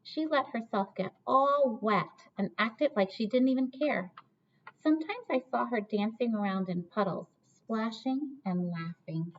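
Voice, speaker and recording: A woman reading a storybook aloud to children like a teacher, pausing to ask listeners questions. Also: fairly high pitch, animated, a little hiss or hum. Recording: dull, lo-fi sound.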